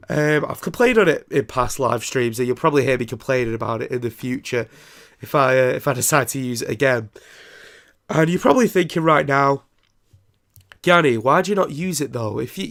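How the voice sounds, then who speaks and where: Young podcast host with a blocked, nasal voice and plenty of gravel, chatting loosely at an ordinary pitch. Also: male voice, fairly bright tone. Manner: rambling, with many ums and restarts. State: congested and nasal from a throat infection. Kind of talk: casual solo podcast chat.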